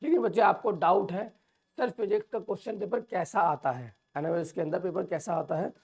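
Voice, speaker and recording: A man with a sore, hoarse throat, walking students through an exam paper and calling them children. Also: high voice, a little fast, darkish tone. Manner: addresses viewers as children, reassuring. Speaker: teacher. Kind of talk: exam overview lesson.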